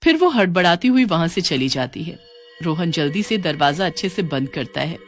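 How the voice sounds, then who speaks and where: A man's voice: fairly high pitch, sing-song, moderate pace, soft background music. A man narrating a scripted story as a storyteller.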